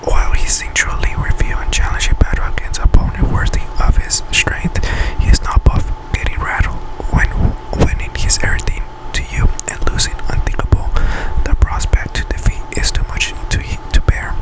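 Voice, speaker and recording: A man whispers a list of facts in breathy, unvoiced ASMR style, often stumbling over and repeating his words as he reads. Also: poor audio. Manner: halting delivery, stumbles and repeats words while reading. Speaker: ASMR video creator and host.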